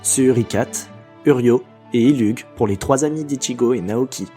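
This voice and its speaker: A man's voice: medium pitch, normal speed, mellow, faint music behind. A man reading the end credits of an audio drama in a light, playful way. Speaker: credits reader. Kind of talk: scripted credits narration.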